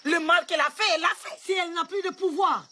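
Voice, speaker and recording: An actress playing a hostile, distrustful village woman who scolds, in an animated film. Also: very high-pitched, quick pace. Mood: hostile, scolding.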